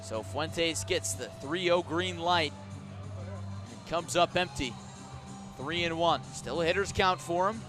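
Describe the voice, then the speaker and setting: A man doing live radio play-by-play of a baseball game, with a measured call that rises sharply on big plays. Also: a little high, over music.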